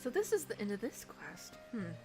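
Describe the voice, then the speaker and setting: A woman giving expressive, reactive gameplay commentary as a streamer. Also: medium pitch, normal speed, music in the background. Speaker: streamer voicing game dialogue. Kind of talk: gameplay commentary mixed with dramatic dialogue reading.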